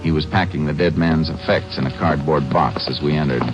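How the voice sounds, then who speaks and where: An actor playing a calm, shrewd Texas Ranger who narrates his case in terse, matter-of-fact old-time radio style. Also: extremely low pitch, brisk, music in the background. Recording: dull vintage radio recording.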